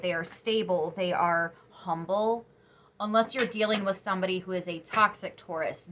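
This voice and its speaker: A young woman giving a casual tarot reading to camera, chatty and emphatic, sniffly after crying, her voice turning soft when she muses. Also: lowish voice. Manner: chatty, emphatic, drops to soft musing asides. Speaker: tarot reader.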